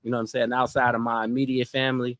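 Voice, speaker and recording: A man in his thirties, a singer, telling his story in a relaxed, casual way and often adding "you know what I'm saying". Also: medium pitch, rapid-fire, dry recording, studio quality. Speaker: R&B singer, podcast guest. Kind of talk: casual interview chat.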